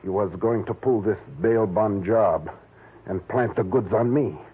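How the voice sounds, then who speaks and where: An actor playing a cornered, edgy crook with a tough, blunt, slangy manner in 1940s radio crime drama. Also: male voice, fairly low pitch, a little slow, slightly rough. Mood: tense, explaining. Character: cornered, edgy male crook and jealous husband. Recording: muffled, faintly noisy old radio recording.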